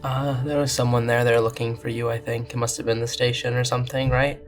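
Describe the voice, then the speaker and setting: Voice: mid-range voice, flat delivery, a little fast, faint music behind. A tabletop game player improvising dialogue in character, in a casual conversational style.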